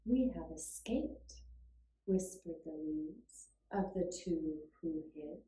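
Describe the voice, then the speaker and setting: A woman narrating a scripted children's puppet-show tale in a measured, deliberate way with pauses. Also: low voice, mellow. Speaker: storyteller. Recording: roomy, echoing room sound.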